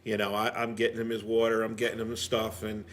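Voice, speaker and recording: A man's voice: fairly low pitch, completely flat delivery, quick pace, a little hiss or hum. A man chatting casually as a firefighter guest, reflective and repeating phrases as he tells stories from the job. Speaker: firefighter and driver being interviewed. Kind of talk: casual storytelling.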